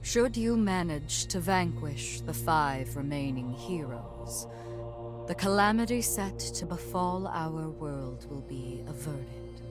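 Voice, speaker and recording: A solemn, commanding female guide from a video game. She speaks very slowly in a low voice, stately and formal as she gives orders. Clear music plays behind her. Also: expressive. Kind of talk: video game cutscene acting.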